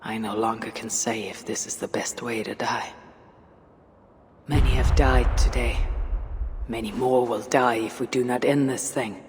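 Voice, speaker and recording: An actor playing a stern, grim Viking raider in a video game, speaking in a grave, measured, terse way. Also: mid-range voice, fairly even intonation, background noise, natural voice. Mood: solemn. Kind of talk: cinematic game dialogue. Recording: long hall reverb, music underneath.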